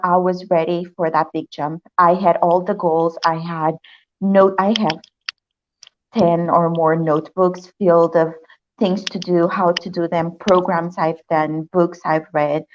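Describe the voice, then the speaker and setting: A woman's voice: on the low side, highs cut off. A young woman from Africa, raised in Portugal, speaking natively, reflecting earnestly as a guest in a testimonial interview. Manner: earnest, reflective, with many restarts. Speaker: program client, guest.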